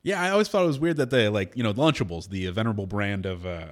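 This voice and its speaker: A man hosting a radio show in casual, joking banter, his voice hoarse from a lingering cold. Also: lowish voice, quick pace. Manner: wry, joking, lively. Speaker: radio host. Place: radio studio.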